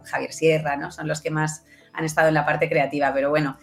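A woman's voice: low voice, level tone, brisk, vocal fry. A woman from chats as an interview guest. She speaks informally, often checking with small tag questions, while faint music plays behind her. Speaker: interview guest, project coordinator. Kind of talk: casual interview conversation.

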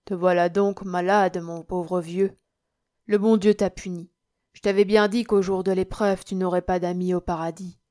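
A woman voicing a kindly, shrewd male village priest in an audiobook, gently admonishing and measured. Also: fairly low pitch, steady tone, lightly acted. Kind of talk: audiobook character acting.

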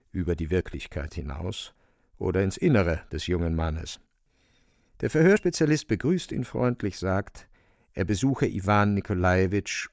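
Animated, creaky low male narrator. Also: no echo, clean studio sound. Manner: wry, storyteller's colloquial asides. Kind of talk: scripted radio play narration.

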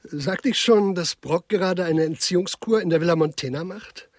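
A harried male villa administrator in a satirical radio drama, fretful and distracted, agreeing absently. His voice sits fairly high and sounds close and dry. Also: moderate pace, no echo. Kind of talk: grotesque radio crime play.

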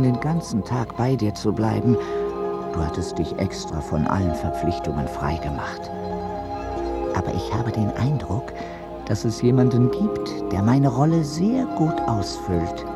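An actor playing a reflective adviser, speaking intimately and conversationally in a radio drama, with music behind him. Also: male voice, lowish voice, vocal fry.

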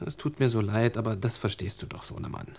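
A man's voice: fairly low pitch, fast talker, vocal fry, a little mellow. An actor playing an apologetic, distracted father who coaxes his young son in a German radio drama. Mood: apologetic, coaxing. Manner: coaxing.

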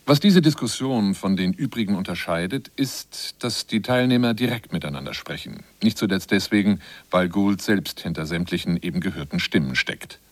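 A man's voice: low voice, expressive, moderate pace, hardly any pauses, dry recording. A man reading scripted narration for a radio documentary feature.

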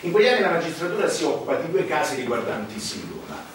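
An actor playing a sardonic insider who narrates on stage in an emphatic, ironic, rhetorical way. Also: male voice, a little high, very animated, quick pace, slight background noise. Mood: ironic, insinuating. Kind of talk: theatrical narration. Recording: echoey stage, distant mic, music behind.

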